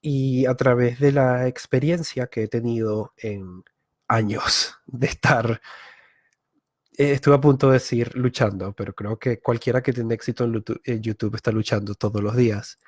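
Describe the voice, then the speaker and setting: A man from Venezuela, a YouTuber giving a live online masterclass, thinking aloud with filler pauses and frequent self-corrections. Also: medium pitch, steady tone, darkish tone, dry recording, clean studio sound. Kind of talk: live-streamed talk.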